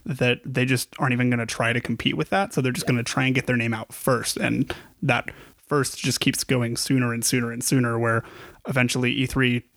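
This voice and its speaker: A man's voice: medium pitch, level tone, a little fast, a bit bright, no room sound. A young man hosting a podcast on a studio microphone, loose and joking, swearing freely. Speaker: podcast host. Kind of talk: casual chat.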